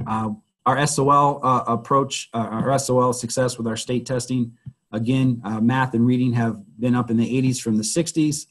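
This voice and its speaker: Hoarse guy giving an education webinar, keeps clearing his throat, kinda raspy. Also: medium pitch, rough recording. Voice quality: husky. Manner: halting, many fillers and restarts. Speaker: school administrator presenting a webinar. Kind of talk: webinar presentation.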